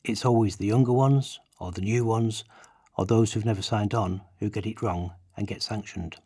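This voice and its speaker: A man's voice: lowish voice, normal speed, mellow, breathy edge. A man giving declamatory, emphatic readings of quoted prose for a radio play. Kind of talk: dramatic read quotations in a radio play.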